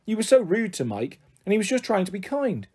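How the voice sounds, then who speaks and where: A woman's voice: mid-range voice, very animated, fairly quick, warm tone, husky, voice pushed up. A woman voicing a stubborn, pleading, emotional young girl in a storybook. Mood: indignant.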